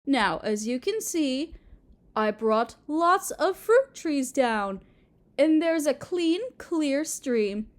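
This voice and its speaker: A woman playing a doting, possessive Mother Earth goddess in a roleplay audio drama, sweet and cooing as if to a small child, with gentle menace. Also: sits high, lively intonation, slow pace. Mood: delighted doting.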